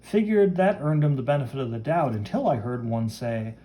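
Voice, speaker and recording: A man's voice: mid-range voice, animated, brisk, warm tone, close to an everyday voice. A man voicing a cocky, chivalrous young superhero, reading comic-book lines aloud in a brash, dramatic manner. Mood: earnest. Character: cocky, chivalrous young male superhero who wields flame.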